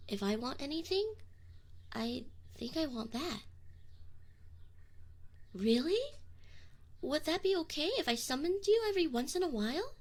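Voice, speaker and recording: A woman's voice: sits high, lively intonation. A woman playing a young tsundere succubus in an intimate ASMR roleplay, prickly and defensive, with affection and neediness showing through. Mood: earnest, tender. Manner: defensive, teasing, halting when admitting feelings. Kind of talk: scripted ASMR roleplay acting, intimate direct address.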